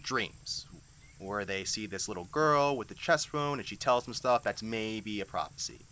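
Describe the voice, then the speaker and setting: A young man playing an awkward, eager teenage high schooler in a fantasy audio drama, talking in a halting, casual, flustered way. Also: expressive, pushed and bright.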